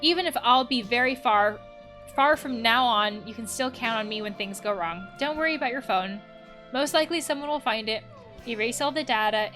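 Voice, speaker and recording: A woman reads the part of a supportive young woman in a video game, a loyal friend. The voice is fairly high and encouraging, with faint music underneath. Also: sharp tone. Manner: encouraging, reading out a message.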